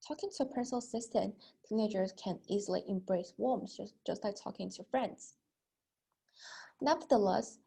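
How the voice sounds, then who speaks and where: A woman's voice: mid-range voice, creaky, dark tone, slightly rough. A young woman delivering a rehearsed persuasive speech, stressing her openers and sometimes repeating a phrase as she goes. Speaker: student speaker.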